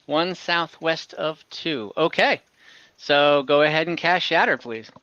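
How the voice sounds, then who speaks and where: A man with a fairly high, bright voice runs a tabletop game online. He speaks casually and informally as the game master. The recording is close and dry, though a little lo-fi. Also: measured pace, no room sound.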